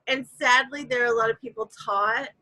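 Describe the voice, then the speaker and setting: A woman's voice: a little high, fairly even intonation, brisk, sharp tone, no echo, rough recording. A woman guest explaining her ideas emphatically in a casual interview, with examples. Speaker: guest course creator.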